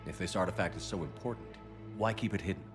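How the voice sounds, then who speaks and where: An actor voicing a direct, no-nonsense military commander in a video game, resolute in tone. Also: male voice, low-pitched, lightly acted. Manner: brisk, no-nonsense. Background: music.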